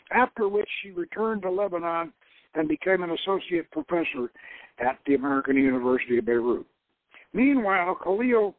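A man narrates a novel in a dramatic storytelling style. His voice is somewhat high, and he occasionally stumbles over a phrase. It sounds dry and narrow, as if over a phone. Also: no echo. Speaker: host reading his own novel.

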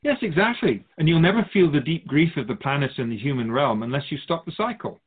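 A man playing an otherworldly, wise spiritual guide in a radio drama, measured and instructive with gentle amusement. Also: fairly high pitch, lively intonation, husky. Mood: wise, gently encouraging. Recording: slightly muffled, phone-like narrow sound.

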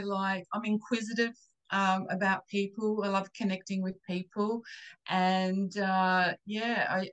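A woman with an Australian accent chatting casually as an interview guest, halting as she searches for words. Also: medium pitch, a bit bright, low-quality recording. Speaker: interview guest, psychic channeler and Reiki therapist.

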